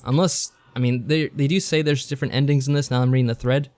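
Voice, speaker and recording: A man with an average-pitched voice co-hosts a podcast. He talks in a loose, unscripted, easygoing style and tends to think out loud. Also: fairly even intonation, fast. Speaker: podcast co-host. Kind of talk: casual unscripted podcast chat about games.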